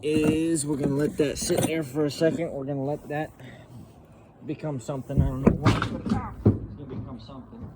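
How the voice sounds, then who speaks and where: A man's voice: fairly high pitch, flat delivery, moderate pace, heavy background noise. A man hosting a casual how-to tutorial from inside a truck at night, rambling and grumbling at his gear. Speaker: channel host showing truck repairs.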